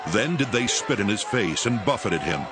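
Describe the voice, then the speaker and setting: A man with a fairly low voice narrates a dramatized scripture. His delivery is solemn and scripted, with music playing clearly behind him. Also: lively intonation, normal speed, a crowd in the background. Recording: background music under the voice.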